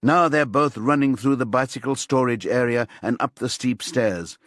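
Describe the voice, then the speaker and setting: Male narrator for a thriller audiobook: measured, suspenseful delivery, medium pitch, dry studio-like sound. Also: fairly bright tone, no echo.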